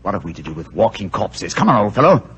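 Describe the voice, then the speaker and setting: Sharp, unflappable detective; lively pitch, lo-fi vintage radio. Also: male voice, fast. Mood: keen. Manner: crisp, commanding, dryly amused. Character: brisk, cool-headed male detective. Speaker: actor playing a detective. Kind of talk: 1940s radio acting. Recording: dull old radio recording with hiss.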